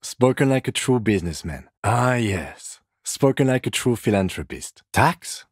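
An actor playing a composed, dry police detective in a video game, speaking in a measured, restrained and formal way with exasperation held in check. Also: male voice, medium pitch, lively intonation, breathy edge, no room sound. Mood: calm, dry. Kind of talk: scripted video game dialogue.

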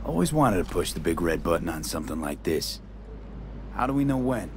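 An actor in a video game playing a street-smart male mercenary, a terse, wry and steady partner. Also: deep voice, brisk, background noise. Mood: focused. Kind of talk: video game cutscene acting.

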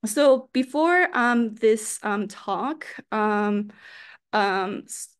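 A woman's voice: medium pitch, expressive, drawn-out words, clean tone. A woman professor presenting her research over a video call, speaking casually with many fillers and a habitual "right?". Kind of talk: academic talk over slides. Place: home or office, video call.

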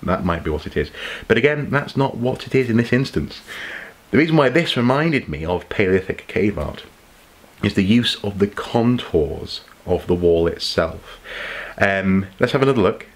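A man's voice: lowish voice, expressive, moderate pace. A man, talking casually to camera in an impromptu archaeology vlog, musing aloud with plenty of ums and repeated words. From England. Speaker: archaeology YouTuber. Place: home study with shelves of collectibles.

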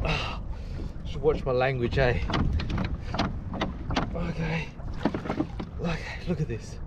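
Excitable Australian man fishing from a kayak. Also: medium pitch, takes it slowly. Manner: excitable, laughs often, chatty to viewers. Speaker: fishing vlogger. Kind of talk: casual running commentary while fishing. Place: kayak on open bay outdoors. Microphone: action camera mounted on kayak. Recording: wind and water noise outdoors.

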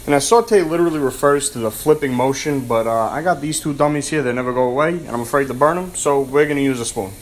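A man's voice: medium pitch, fast, hardly any pauses, rough recording. A young man casually narrating a home cooking video in his kitchen, joking and brash with streetwise slang. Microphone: phone camera held close.

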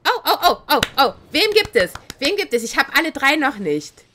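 A woman streaming a video game, chatting in a casual, excitable and giggly way. She has a cold that makes her voice slightly raspy. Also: sits high, normal speed, a little hiss or hum. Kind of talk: casual let's-play commentary.

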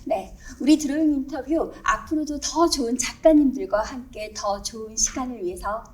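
Woman interview host, fairly high voice, formal, courteous, slightly off-mic. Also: normal speed, far from the mic. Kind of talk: polite broadcast interviewing.

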